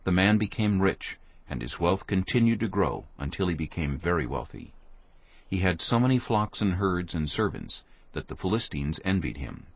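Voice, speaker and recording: Deep, gravelly male scripture narrator. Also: no echo. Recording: dull recording over soft music.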